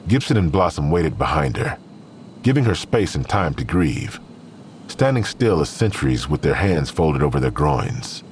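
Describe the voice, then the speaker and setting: Very deep-voiced male crime-drama narrator. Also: sing-song, unhurried, a little hiss or hum.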